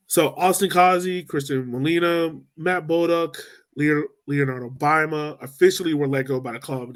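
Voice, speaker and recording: A man's voice: a little high, a bit bright. A man hosting a casual sports podcast, talking loosely and rambling as he lays out his points. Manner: loose, rambling, laughs easily. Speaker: podcast host.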